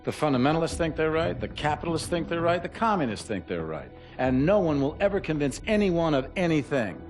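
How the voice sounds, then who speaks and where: A menacing power-hungry leader. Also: male voice, fairly high pitch, big pitch swings. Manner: slow, lecturing, menacing. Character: ruthless middle-aged male power-hungry leader. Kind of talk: video game cutscene speech. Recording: speech over loud music score.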